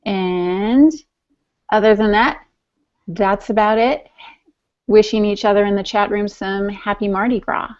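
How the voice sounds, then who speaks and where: A woman's voice: mid-range voice, steady tone, measured pace, pauses often, darkish tone. A woman co-hosting a podcast in casual conversation, chatty and playfully teasing. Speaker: podcast co-host. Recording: dull, lo-fi podcast audio.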